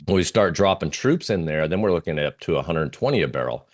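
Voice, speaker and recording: A man giving unscripted analysis as an interview guest, talking through slides in a halting, rambling way with pauses and repeated phrases. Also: sits low, expressive. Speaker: interview guest, geopolitical oil trading analyst.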